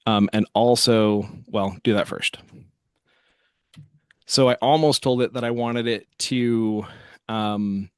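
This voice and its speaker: A man giving a casual software walkthrough as host, thinking aloud as he works. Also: fairly low pitch, fairly even intonation, slow pace, long pauses, smooth, no echo, studio quality. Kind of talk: casual screen-share walkthrough.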